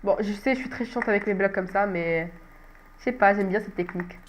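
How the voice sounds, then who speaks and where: A woman's voice: medium pitch, a little fast, slight background noise. A young woman with a cold-stuffed nose, chatting casually over a game she plays and often making fun of herself. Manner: chatty, self-mocking, laughs often. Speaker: gaming channel host. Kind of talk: casual gameplay commentary.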